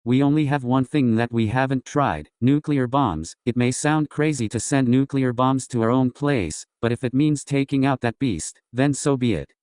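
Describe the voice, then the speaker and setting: A man's voice: medium pitch, moderate pace, hardly any pauses, darkish tone, dry recording, clean studio sound, close to an everyday voice. A man playing a grimly resolute official in a crisis drama, speaking in a grave, decisive way. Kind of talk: scripted emergency drama dialogue.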